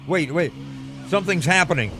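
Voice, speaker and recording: An actor playing a frightened radio reporter in an old-time radio drama, stammering through an eyewitness account. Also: male voice, a little high, lively intonation, brisk, pushed and bright, soft background music. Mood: uneasy watchfulness. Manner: stammering, breathless eyewitness description. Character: frightened male radio reporter at alien landing site.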